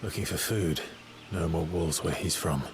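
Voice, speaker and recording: A man's voice: low-pitched, normal speed, music in the background, close to an everyday voice. An actor voicing a grim young male soldier set on vengeance, speaking in a terse, guarded way. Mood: somber.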